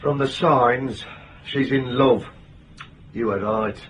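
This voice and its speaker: A man's voice: mid-range voice, takes it slowly, far from the mic, a little hiss or hum, lightly acted. A British actor playing a blunt, wisecracking male agency boss in a radio drama, talking in emphatic, colloquial, broken-off phrases. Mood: musing.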